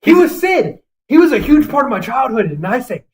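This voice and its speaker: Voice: sits high, sing-song, fairly quick. A young adult podcast co-host giving opinions in casual banter, swearing freely and rambling emphatically.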